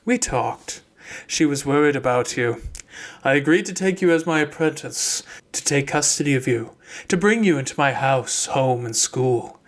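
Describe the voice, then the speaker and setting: An audiobook character played by a male reader: a stern mage who speaks in a measured, formal way. He is cold, controlled and accusing, and never yields. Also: medium pitch, expressive, natural voice.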